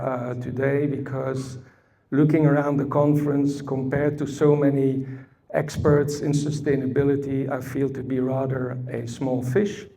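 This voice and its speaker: A man with a Belgian accent presents at a conference workshop, pausing often on fillers as he builds his points. His voice is gravelly and warm rather than bright, with a steady middle pitch. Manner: deliberate, frequent "uh" fillers, storytelling asides. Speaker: conference speaker. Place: conference hall.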